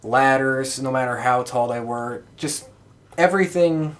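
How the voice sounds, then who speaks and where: A man hosting a podcast in casual conversation, with a rambling, halting delivery. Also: medium pitch, a bit bright. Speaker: podcast host. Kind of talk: casual chat.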